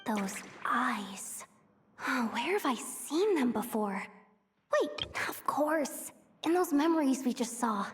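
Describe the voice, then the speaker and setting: An actress voicing a small, excitable, candid girlish companion in a video game, chatty and expressive. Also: high-pitched, slow, a little hiss or hum. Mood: puzzled. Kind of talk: video game character acting. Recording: background music under the voice.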